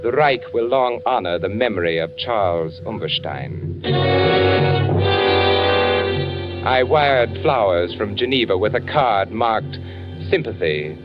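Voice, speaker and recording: A muffled vintage radio recording carries a deep, brooding man telling his own wartime spy story. He is calculating and hunted, phrasing each thought deliberately and dramatically, as suspenseful music plays behind him. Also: lively intonation. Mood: grim, dramatic.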